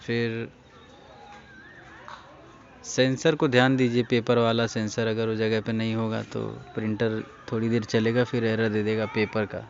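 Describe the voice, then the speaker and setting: A man's voice: lowish voice, little pitch movement, measured pace. An Indian man giving a casual hands-on repair tutorial, speaking informally and instructing viewers directly. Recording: dull lo-fi recording with faint noise.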